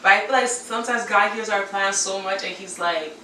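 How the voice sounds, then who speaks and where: A bright, forceful young woman in her twenties talks in a loose, slang-filled way and laughs easily. She sits a little back from the mic. The room around her is echoey, with a faint hiss underneath. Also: mid-range voice, distant mic. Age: 20s. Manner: animated, slangy, quick to laugh. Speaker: podcast co-host. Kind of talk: casual chat.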